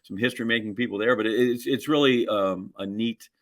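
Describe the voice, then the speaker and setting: A man's voice: lowish voice, a little fast. A middle-aged man. He co-hosts a podcast in a casual way. His talk wanders through folksy stories with many asides. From Wisconsin, United States. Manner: rambling, folksy storytelling. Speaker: podcast co-host. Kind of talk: casual chat with rambling anecdotes.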